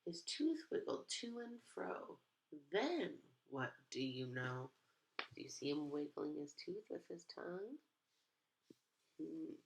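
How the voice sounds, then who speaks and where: A woman reading a picture book aloud to young children, pausing to ask them questions in an animated way. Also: sits low, creaky, slight background noise, rough recording.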